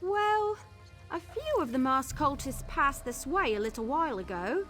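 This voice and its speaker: High-voiced, animated young scout. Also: female voice, measured pace, full character voice. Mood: hesitant, gossipy. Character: spirited young female scout, chatty, mischievous, protective of comrades. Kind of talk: video game character acting. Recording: game dialogue over background music.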